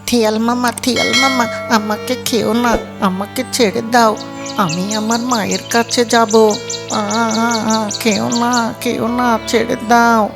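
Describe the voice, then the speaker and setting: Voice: mid-range voice, little pitch movement, slow, creaky, over music, pitched above the performer's own voice. A performer voicing a frightened little cartoon baby bird, pleading with a childish lisp. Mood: terrified, pleading. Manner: childish lisped speech, pleading.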